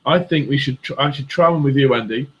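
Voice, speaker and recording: A man's voice: mid-range voice, a little fast, a bit bright, rough recording. A man from England chatting casually as a show co-host, his Midlands accent mixed with northern and southern traces. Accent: English Midlands with northern and southern traces. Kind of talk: casual chat.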